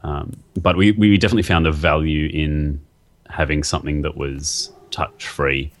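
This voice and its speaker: A man's voice: a bass voice, fairly even intonation, normal speed. A man interviewed as a guest artist, talking casually and hesitantly, with many fillers and restarts.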